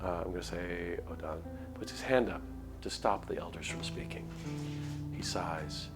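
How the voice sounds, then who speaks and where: A man streaming a visual novel, reading it aloud as measured, expressive story narration. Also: deep voice. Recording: clear music behind voice. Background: background music.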